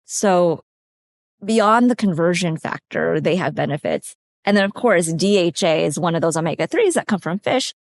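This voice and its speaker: A woman with a slightly low voice talks through nutrition as a podcast guest. She explains things in a practical, step-by-step way. Her voice is recorded close and dry, with no room sound. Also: lively intonation, normal speed, dry recording. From California, USA. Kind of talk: conversational expert explanation.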